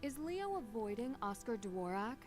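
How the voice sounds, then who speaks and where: A young female detective for a video game, with a fairly high, animated voice. She is inquisitive and polite but pointed, asking direct questions over faint music. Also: normal speed. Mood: probing.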